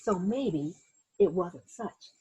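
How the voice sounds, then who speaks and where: A woman reads a picture book aloud with a lively, swooping delivery and a slightly husky edge to her voice. She performs the story dramatically, in the manner of a teacher speaking to young children, and turns to her listeners with asides. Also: lowish voice, takes it slowly, rough recording. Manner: animated, dramatic, acts out sound words and exclamations.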